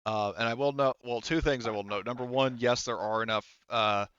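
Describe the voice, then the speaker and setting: A man working as game master, casually explaining scenes in a halting, improvised way. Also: mid-range voice, steady tone, a bit bright, clear, resonant tone, no echo, lo-fi sound. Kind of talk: improvised tabletop game narration.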